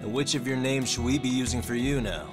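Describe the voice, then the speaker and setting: An actor playing a cool, probing private detective in an English video game dub, questioning people in a dry, confrontational way. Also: male voice, mid-range voice, steady tone, a little fast, music in the background, natural voice. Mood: accusing.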